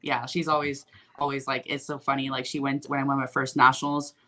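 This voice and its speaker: A woman's voice: a bass voice, flat delivery, a little fast, pushed and bright. A young woman from Sweden with a light Swedish accent, chatting loosely as an interview guest and telling stories with lots of fillers. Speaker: guest bodybuilder. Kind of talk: casual interview chat.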